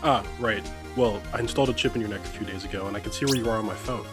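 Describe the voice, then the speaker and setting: A man's voice: fairly low pitch, racing, over music, lightly acted. A man voicing a smug, unapologetic scientist with a pedantic manner in a courtroom parody skit. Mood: smug, nonchalant. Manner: nonchalant, pedantic.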